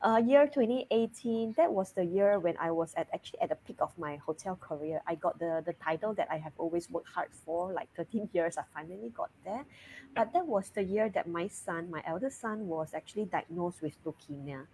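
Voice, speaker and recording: A woman in her thirties from Singapore with a Singaporean English accent, a guest chatting openly in an interview over a video call, often breaking into soft laughs mid-sentence. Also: lowish voice, brisk, a little hiss or hum, low-quality recording. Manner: laughs lightly mid-sentence, relaxed and open. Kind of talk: casual interview chat.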